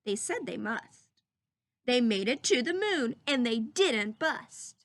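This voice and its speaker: A woman reading a children's picture book aloud in an animated teacher's way, drawing out words and pausing to put questions to young listeners. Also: on the high side, no room sound.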